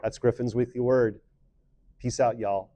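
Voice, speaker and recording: A man on the school staff giving students an upbeat, encouraging weekly message, pushing louder on key words. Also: mid-range voice, fairly even intonation, unhurried, dry recording. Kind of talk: scripted pep talk to students.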